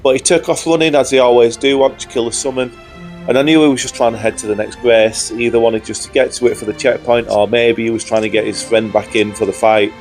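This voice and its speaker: A young man talks through his game and his gear in a chatty, upbeat way. A cold leaves his mid-pitched voice slightly croaky and hoarse. Faint music plays underneath. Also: level tone, quick pace, darkish tone. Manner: upbeat, chatty, says "guys" often. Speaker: gaming YouTuber. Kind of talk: gameplay commentary.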